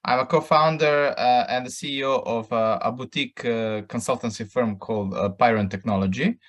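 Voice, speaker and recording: A man from Serbia introducing himself on an online conference panel over a video call, hesitant and halting with fillers. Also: mid-range voice, fairly bright tone.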